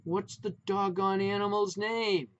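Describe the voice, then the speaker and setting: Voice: medium pitch, fairly even intonation, a little slow, pitched above the performer's own voice, lightly acted. A reader voicing a harried husband straining to be heard over a noisy phone call. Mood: weary. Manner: raised, strained yelling.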